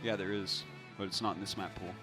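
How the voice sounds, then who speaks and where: A man's voice: low-pitched, fairly even intonation, fast. A man commentating on esports casually, with easygoing, lightly teasing chat. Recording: faint music behind the voice. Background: music.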